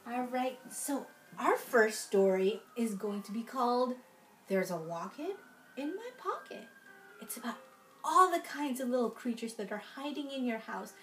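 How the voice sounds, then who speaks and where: High, animated young woman reading to children. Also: unhurried, slight background noise, low-quality recording. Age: young adult. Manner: expressive, sing-song, addressing young children. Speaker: children's storytime reader in princess costume. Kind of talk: read-aloud children's storytime with asides. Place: small room at home, indoors.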